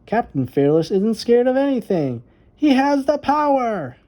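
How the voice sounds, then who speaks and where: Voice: medium pitch, big pitch swings, unhurried, dark tone, voice pushed up. Woman playing a boastful, dramatic young boy.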